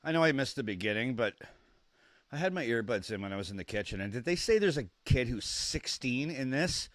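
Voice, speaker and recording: A young man with a cold, sniffly and coughing, chatting casually and excitedly on a live stream, rambling and stumbling over his words. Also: medium pitch, brisk, no room sound. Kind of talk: casual live-stream watch-along chat.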